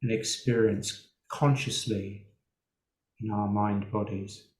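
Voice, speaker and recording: Slow, low-voiced man guiding meditation. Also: steady tone. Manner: unhurried, with long pauses. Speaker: meditation teacher. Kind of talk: guided meditation. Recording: roomy, echoing sound.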